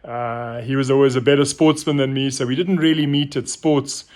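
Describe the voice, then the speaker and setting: A middle-aged man giving an informal, reflective talk to listeners, pausing thoughtfully as he goes. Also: medium pitch, steady tone, a little mellow. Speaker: host of a reflective story series.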